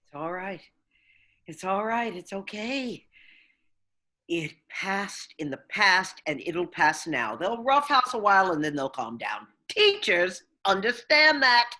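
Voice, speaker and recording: A woman's voice: mid-range voice, sing-song, a little slow, hardly any pauses, pushed and bright, full character voice. A woman playing a soothing middle-aged mother. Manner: soothing, reassuring.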